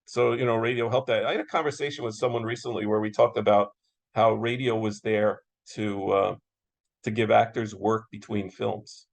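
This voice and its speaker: A man's voice: lowish voice, fairly even intonation, normal speed, creaky. An American man moderating an interview in a casual, agreeable, conversational style, often echoing and affirming.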